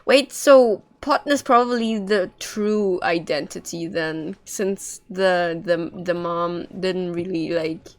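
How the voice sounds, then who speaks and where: A woman's voice: fairly low pitch, takes it slowly, hardly any pauses. A woman streaming a game, reacting casually and musing aloud in a hesitant way. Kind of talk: casual live reaction commentary.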